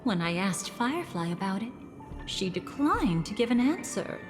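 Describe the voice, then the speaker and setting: A woman voicing a poised, gentle and coy guide in a video game, speaking in a measured, intimate, enigmatic way. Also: mid-range voice, very animated, natural voice. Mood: reflective. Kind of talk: dramatic video game voice acting. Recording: long reverb, faint music behind. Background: soft background music.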